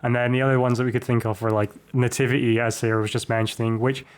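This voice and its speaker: A man's voice: mid-range voice, flat delivery, fast talker, smooth. A man co-hosting a podcast in a casual film discussion, given to joking asides. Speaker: podcast co-host.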